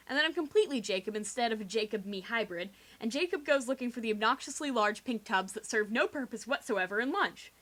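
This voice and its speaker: A young woman doing a theatrical, sarcastic comic dramatic reading in slangy language, recorded quietly on a homemade game microphone rig. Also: on the high side. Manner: theatrical, sarcastic, slangy, acts out quoted dialogue. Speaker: storyteller. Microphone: video game microphone with improvised pop filter.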